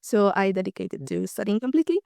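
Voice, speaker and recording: A woman's voice: mid-range voice, expressive, fast, gravelly, a little mellow, no room sound, clean studio sound. A woman in her twenties from Slovakia, a native Hungarian speaker, chatting casually as a podcast guest with frequent ums and light laughs. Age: 20s. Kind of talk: casual conversational interview.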